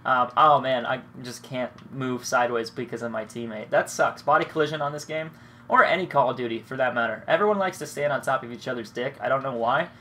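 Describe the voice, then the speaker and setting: A man's voice: mid-range voice, brisk, bright, forceful tone, slight background noise. A young man with a cold and a stuffed-up nose, casually rambling and swearing as he comments on his own gameplay. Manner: rambling, laid-back, swears freely. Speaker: gaming commentator.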